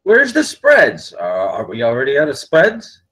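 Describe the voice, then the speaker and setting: A male actor as a gruff working father with a rough, husky voice. His pitch moves a great deal as he speaks in curt, stammering bursts. It is dramatic script-reading, full of irritation and stress. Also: huge pitch swings, unhurried, a bit bright, exaggerated voice, low-quality recording. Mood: grumpy. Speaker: actor at a table read. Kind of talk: live script table read.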